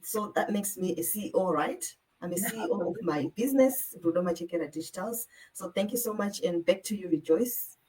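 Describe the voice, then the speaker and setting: A woman's voice: on the low side, fairly quick, few pauses, a crowd in the background, rough recording. A woman introducing herself as a guest and business owner on a discussion panel.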